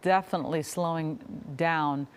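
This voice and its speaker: A person speaking earnestly in a measured way in a news clip. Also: medium pitch, steady tone, clean tone.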